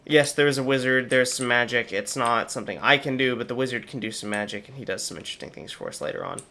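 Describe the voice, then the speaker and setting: A man's voice: mid-range voice, animated, fast talker, vocal fry, pushed and bright. A young man with a slightly sore voice, chatting casually through game commentary with wry asides. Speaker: gaming channel host.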